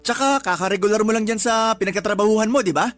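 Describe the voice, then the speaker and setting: A sulky young stay-at-home dad in a radio drama. He talks fast and defensively, with an irritable, sarcastic edge, over a faint music bed. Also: high voice, a bit bright, no room sound. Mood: evasive. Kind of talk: Tagalog radio drama acting. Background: background music.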